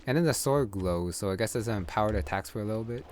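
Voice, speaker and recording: A man's voice: on the low side, fast, darkish tone, slight background noise. A man giving casual, unscripted gameplay commentary, musing aloud to himself as he plays. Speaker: gamer, streamer. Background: game music.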